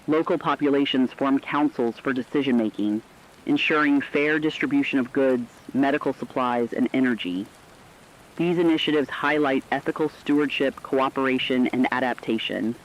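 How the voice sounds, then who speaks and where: A man's voice: medium pitch, normal speed, natural voice. A man playing an earnest radio correspondent in a doomsday broadcast, reading scripted news formally and evenly in dull, lo-fi sound. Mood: earnest, measured.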